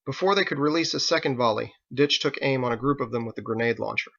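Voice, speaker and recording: Male audiobook narrator, middle pitch, creaky and forceful, dramatic prose reading, slightly dull recording. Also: fast. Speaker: author reading own novel.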